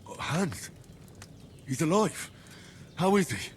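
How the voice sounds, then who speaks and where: A man's voice: on the high side, very animated, takes it slowly, slight background noise. An earnest, vengeful young man. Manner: earnest, plain-spoken. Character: young man, earnest, set on avenging his parents. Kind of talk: video game cutscene dialogue.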